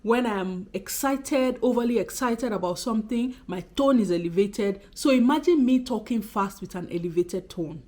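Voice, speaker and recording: Expressive Nigerian woman vlogger. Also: a little high. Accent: Nigerian, Benin City undertone. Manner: expressive, talks fast. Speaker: YouTuber. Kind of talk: casual talking-to-camera vlog.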